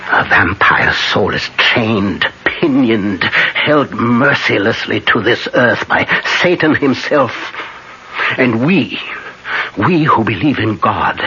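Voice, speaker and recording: An older, commanding vampire hunter in a vintage radio horror play. His voice is low and intense with a hard bright edge, and he weighs out solemn warnings with heavy pauses. The sound is dull and old. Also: lively intonation, slight background noise, heavily acted. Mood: solemn, urgent. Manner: solemn, emphatic, dramatic pauses and repetitions. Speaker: actor in a radio horror drama. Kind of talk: classic radio drama acting.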